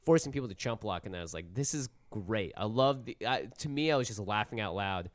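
Male podcast co-host, lowish voice, dry sound, informal and animated storyteller. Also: brisk, no echo. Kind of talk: casual storytelling chat.

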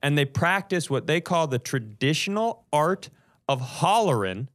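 A man hosting a podcast in casual comedic chat, telling stories in an animated way with mock-serious build-ups. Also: on the high side, unhurried. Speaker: podcast host.

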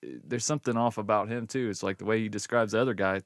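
A man's voice: on the low side, fairly even intonation, fast talker, dry recording, studio quality. A man co-hosting a podcast, reacting in laid-back, slangy chat. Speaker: podcast co-host. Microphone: close podcast microphone.

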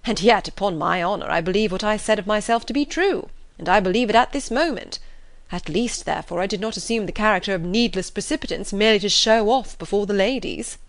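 A woman voicing a good-natured, easygoing young gentleman who talks in a lively, cheerful way. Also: medium pitch, quick pace, no room sound, lightly acted.

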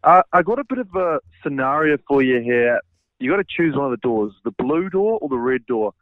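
A man's voice: medium pitch, big pitch swings, brisk, no room sound. A man with a New Zealand accent, leaving a breezy, friendly voicemail message. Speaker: voicemail caller. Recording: tinny phone voicemail.

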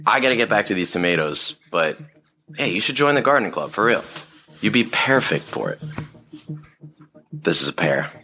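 Deep-voiced, laid-back senior boy. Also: very animated, moderate pace, pauses often, sharp tone, a little hiss or hum, exaggerated voice. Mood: playful.

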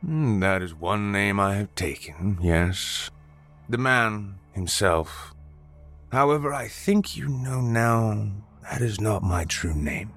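A booming ancient god passes judgment from his throne, as a man puts him on. The voice sits very low and unhurried, each title weighed and proclaimed. Soft music plays behind him. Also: expressive, heavily acted. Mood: imperious.

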